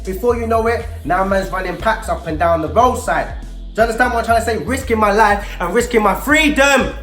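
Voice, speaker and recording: A man with a London accent tells a personal story to young people in street slang, animated and emphatic, acting out dialogue as he goes. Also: high-pitched, fairly quick. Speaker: storyteller and youth mentor. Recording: roomy echo with faint music underneath.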